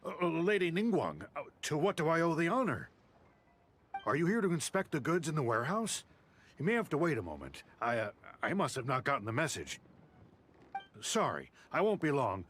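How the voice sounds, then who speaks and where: Game NPC: anxious dock clerk, flustered and eager to please, highish voice swooping around, some background noise. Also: male voice, wildly swooping pitch, moderate pace, fairly bright tone. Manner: flustered, stammering. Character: nervous, eager-to-please male dockside warehouse clerk. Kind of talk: video game character acting.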